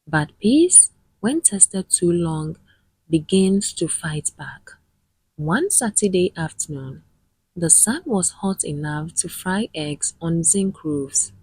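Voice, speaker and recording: A woman narrating a scripted dramatic tale in a measured, solemn manner with dramatic pauses. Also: fairly low pitch, animated, dark tone, husky, no echo, rough recording. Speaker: storyteller, narrator.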